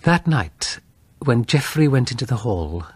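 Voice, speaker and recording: A man reads a Gothic horror story as an audiobook narrator. His mid-pitched voice is recorded dry and close, and he builds suspense with measured phrasing and dramatic pauses. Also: sing-song, a little mellow, husky, no echo.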